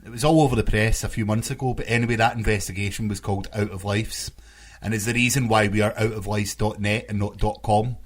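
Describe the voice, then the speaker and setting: A man's voice: fairly low pitch, steady tone, fast talker. A man co-hosting a podcast in casual, dryly joking conversation. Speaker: podcast co-host.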